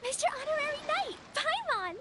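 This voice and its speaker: A sweet, excitable little girl from a video game. The voice is very high and swings brightly up and down, with clear background noise. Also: a little fast, heavily acted. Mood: giddy. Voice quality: childlike. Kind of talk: video game character dialogue.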